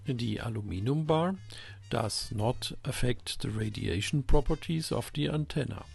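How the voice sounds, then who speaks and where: A man's voice: mid-range voice, vocal fry, a little mellow, husky. A man giving a methodical, scripted technical explanation as the host of an electronics channel.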